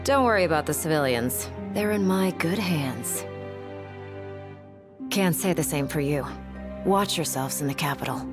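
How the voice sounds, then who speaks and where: A woman's voice: sits low, animated, unhurried, pauses often, over music. A woman playing a cocky, tough mercenary in a video game, teasing and self-assured.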